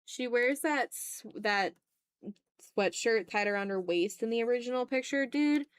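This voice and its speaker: A woman's voice: on the high side, moderate pace, clean tone, no echo. A woman from the American South chatting casually on an art livestream, self-deprecating and playfully exasperated. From Southern United States. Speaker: streamer. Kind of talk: casual livestream chat while drawing.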